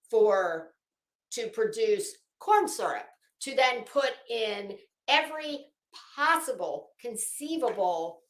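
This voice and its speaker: A woman's voice: medium pitch, fairly bright tone, roomy sound, poor audio. A woman hosting a casual livestream, chatting to viewers in a rambling, opinionated, emphatic way. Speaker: livestream host.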